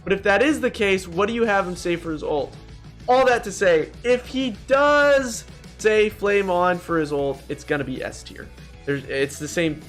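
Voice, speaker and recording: A man's voice: high voice, moderate pace, a bit bright. A man giving casual, blunt, slangy ranking commentary as host of a gaming video. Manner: slangy and blunt, addresses viewers as bro. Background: music.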